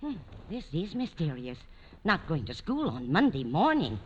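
A woman's voice: low voice, sing-song, normal speed, lo-fi sound. A woman playing a fussing, well-meaning, fluttery older lady in an old radio comedy. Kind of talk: 1950s radio comedy acting. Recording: very noisy, crackly vintage radio.

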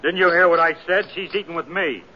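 An actor playing a brash, possessive young cowhand who bullies and taunts, in an old radio western. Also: male voice, high voice, fast, natural voice. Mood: belligerent. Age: 20s. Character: cocky, bullying husky young cowhand, possessive and loud. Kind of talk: 1940s-50s radio western acting. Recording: dull, noisy radio recording, crowd behind.

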